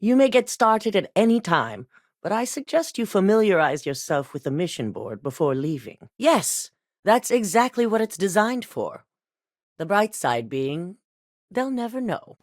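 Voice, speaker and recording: An actress voicing a calculating corporate executive who hands out missions in a video game, speaking in a composed, clipped, authoritative way. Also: female voice, mid-range voice, sing-song, unhurried, no room sound, studio quality. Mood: cold. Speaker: corporate boss giving missions.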